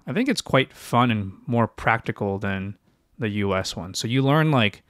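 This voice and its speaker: A young man co-hosting a casual podcast, speaking in a somewhat low voice. He hesitates often, stacking fillers and restarting his sentences. The sound is close and dry, with no room echo. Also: expressive, normal speed. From China. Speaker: podcast co-host, physician.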